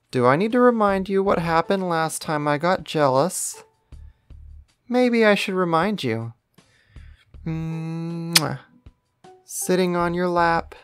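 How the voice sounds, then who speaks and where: Woman playing a clingy, low-voiced, slow-talking boyfriend. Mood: jealous, then teasing, affectionate. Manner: teasing, coaxing, pouty, with kisses and sighs. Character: clingy, playful, affectionate young boyfriend. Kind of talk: intimate ASMR boyfriend roleplay.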